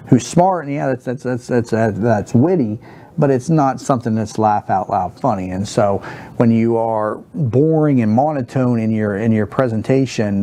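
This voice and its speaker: A man in his thirties sits at a studio desk and explains the basics of stand-up comedy straight to camera. His voice is somewhat low, dark and mellow. He speaks in a flat monotone and rambles, full of fillers and false starts. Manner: rambling with many fillers and restarts. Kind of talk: unscripted talk to camera. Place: studio set with a wooden backdrop, seated at a desk.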